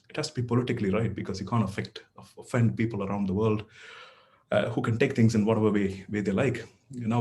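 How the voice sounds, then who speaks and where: A man's voice: on the low side, slight background noise. Tamil-speaking male neurosurgeon, lecturing. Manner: conversational, many fillers and restarts. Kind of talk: online lecture to medical students.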